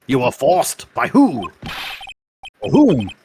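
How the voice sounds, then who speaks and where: A man voicing a stern older judge with a solemn, formal manner and gravelly voice in a comedic game dub. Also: mid-range voice, wildly swooping pitch, takes it slowly, a little mellow, slight background noise. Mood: questioning. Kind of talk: comedic live fan dub of a video game. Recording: game music behind the voice.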